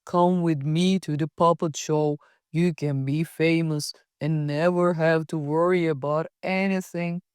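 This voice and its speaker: A woman's voice: sits low, steady tone, unhurried, a little mellow, clean tone, no echo, clean studio sound, voice pushed down. A woman voicing a sly, coaxing male trickster in a children's storybook tale. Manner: coaxing, persuasive. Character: sly smooth-talking male trickster tempting a boy.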